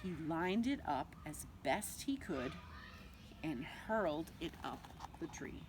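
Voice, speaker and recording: A woman reading a picture book aloud to young children like a nursery teacher, expressively, with dramatic pauses and drawn-out words. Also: low voice, lively intonation, noisy background.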